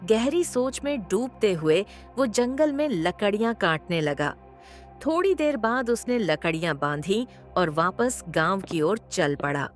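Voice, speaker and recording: Woman, storyteller narration, expressive, wide pitch swings, slow pace, faint music.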